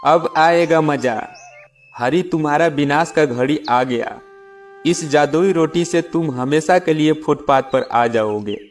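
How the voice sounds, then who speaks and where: A man's voice: fairly high pitch, takes it slowly, faint music behind, pitched above the performer's own voice. A man voicing a greedy, jealous farmer who grumbles and schemes in a children's moral story. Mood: gleeful, vengeful.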